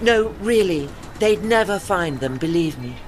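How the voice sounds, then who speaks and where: A woman's voice: lowish voice, lively intonation, measured pace, a little hiss or hum. An actress playing a haughty, dry-witted old lady ship with an arch, formal manner in a period audio drama. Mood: arch, wry.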